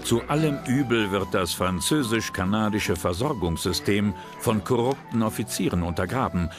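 A man with a very deep voice narrates a documentary in a calm, measured, serious manner. His delivery is scripted and steady. Clear music runs beneath his words.

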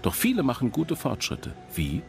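A man's voice: medium pitch, wildly swooping pitch, moderate pace, creaky. A man voicing an enthusiastic statistician, explaining directly to viewers in a documentary lecture voice-over. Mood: enthusiastic, explanatory. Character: enthusiastic male statistician presenting global data. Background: music.

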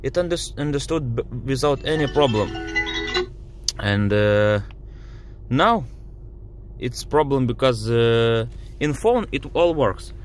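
A man with a Lithuanian accent, a native Lithuanian speaker, complains in a car about voice input while showing his phone and dashboard screen. He speaks in halting English with many fillers and sounds frustrated. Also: mid-range voice, lively intonation, faint music behind. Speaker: driver demonstrating a car app. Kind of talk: casual complaint video. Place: inside a parked car.

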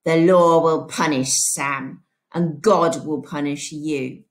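A woman voicing a drunk, leering small-town sheriff who talks in a slow drawl. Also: low-pitched, steady tone, pitched below the performer's own voice.

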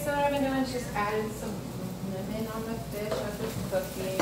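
Chatty woman cooking in an echoey kitchen. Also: medium pitch. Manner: chatty, matter-of-fact, talks while cooking and moving about. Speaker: home cooking vlogger. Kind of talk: casual cook-along narration. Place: home kitchen, indoors. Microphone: camera on the counter, speaker moving around. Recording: echoey kitchen, distant from camera, loud background noise.

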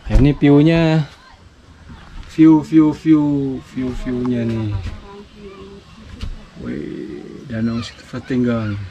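A man walking through a villa with his camera, chatting loosely and tossing in fillers. His voice sits at a middling pitch. A steady hiss of noise runs under the audio. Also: drawn-out words, pauses often, dull audio. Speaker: vlogger touring a villa. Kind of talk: casual walkthrough commentary. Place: indoors and outdoors at a mountain lakeside villa.

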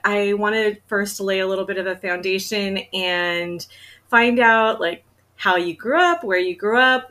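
A woman in her forties hosts a podcast conversation, her voice bright and sharply present. She asks curious questions in a relaxed way, often with a light laugh. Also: mid-range voice. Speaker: podcast host. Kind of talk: conversational interview.

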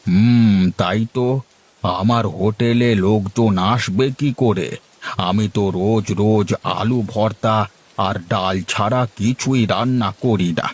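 Husky, slow-talking old village man, acted by a man. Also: on the low side, steady tone. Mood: worried, grumbling. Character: worried, food-loving old village father-in-law, eatery owner. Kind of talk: cartoon voice acting.

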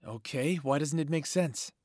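A man's voice: on the high side, normal speed, darkish tone, no room sound, studio quality, close to an everyday voice. A man playing an inquisitive, mildly sardonic young man in an audio drama, with a conversational delivery. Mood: curious. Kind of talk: audio roleplay drama acting.

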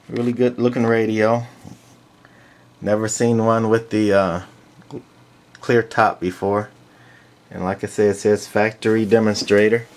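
A man giving a casual, unscripted walk-through in a halting, matter-of-fact way, like a hobbyist collector presenting his gear. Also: on the low side, a little hiss or hum. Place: indoors, small room. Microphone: handheld camcorder's built-in mic.

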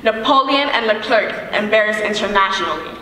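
A young woman performing spoken word poetry on a theater stage, declaiming emphatically with deliberate repetition. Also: medium pitch, animated, sharp tone. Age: young adult. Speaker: student spoken word poet. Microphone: microphone on a stand. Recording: very echoey hall, distant, faint noise.

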